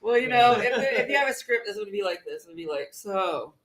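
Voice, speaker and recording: A man hosting a local music show, chatting casually and relaxed with his guest. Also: high-pitched, fairly quick. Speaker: podcast host. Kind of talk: casual chat.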